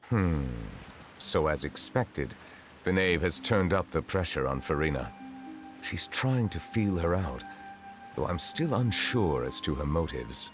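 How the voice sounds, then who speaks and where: Very deep, solemn judge. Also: male voice, lively intonation, a little slow, fairly bright tone. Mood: grave. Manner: formal, grave, precise. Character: solemn, dignified male judge, secretly an ancient dragon. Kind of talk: video game voice acting. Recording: music behind the voice.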